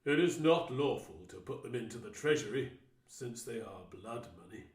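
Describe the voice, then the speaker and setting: A man's voice: medium pitch, expressive, normal speed, natural voice. A man voicing a group of scornful chief priests in a dramatised scripture reading, talking dismissively. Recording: roomy echo.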